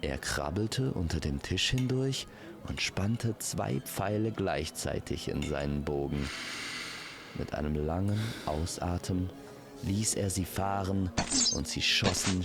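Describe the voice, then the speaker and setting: A man's voice: low-pitched, slow, over music. A man narrating a scripted fantasy story as a storyteller.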